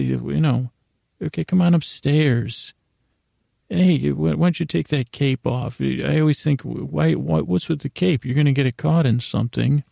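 A middle-aged man hosting a bedtime podcast, rambling whimsically in a creaky voice, often halting and losing his thread. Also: medium pitch, no echo, clean studio sound. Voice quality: creaky, gravelly, mellow voice. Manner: meandering, halting, trails off mid-thought, whimsical. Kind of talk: rambling, improvised bedtime monologue to listeners.

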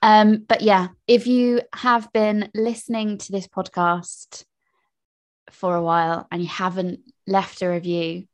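A woman's voice: medium pitch, level tone, a little slow. A woman, co-hosting a podcast in upbeat, agreeable casual chat. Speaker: podcast co-host.

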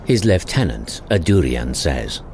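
A man's voice: a bass voice, sing-song, measured pace, a little mellow, a little hiss or hum. A man narrating a sci-fi audio drama as a scripted, dramatic storyteller.

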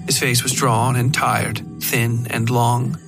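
A man's voice: mid-range voice, flat delivery, slow pace. A man reading scripted first-person horror fiction as a podcast narrator, close to the microphone. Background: faint music.

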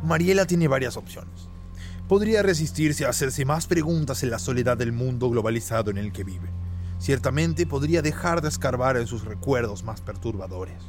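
A man's voice: mid-range voice, moderate pace. A man narrating a scripted audio drama in a wry, playful, dramatic way, addressing the listener directly. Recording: loud music underneath.

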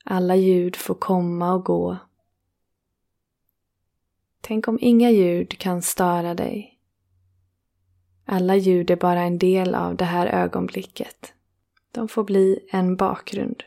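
Woman, meditation guide. Creaky, very even delivery, soothing and unhurried, with generous pauses. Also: on the low side. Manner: slow, soothing, with long pauses between phrases. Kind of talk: guided relaxation meditation, yoga nidra.

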